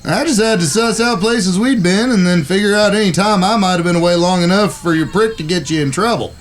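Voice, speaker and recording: A man playing an excitable, mischievous younger cowboy brother in a Western audio drama, with a folksy drawl. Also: high voice, a little fast, lightly acted. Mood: smug, gallant. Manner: folksy drawl with old West grammar.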